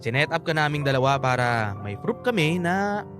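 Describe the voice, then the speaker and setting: A man's voice: on the high side, animated, moderate pace, lightly acted. Cocky but sheepish young Filipino guy played by an actor. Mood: explaining, teasing. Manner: sheepish, teasing, hesitant. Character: Eros, cocky, playful young male friend. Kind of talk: radio drama acting in casual Taglish. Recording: faint music behind the voice.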